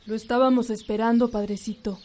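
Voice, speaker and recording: A woman's voice: on the high side, flat delivery, brisk, a little mellow, natural voice. A woman playing a fearful village woman. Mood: earnest. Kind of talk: radio drama acting.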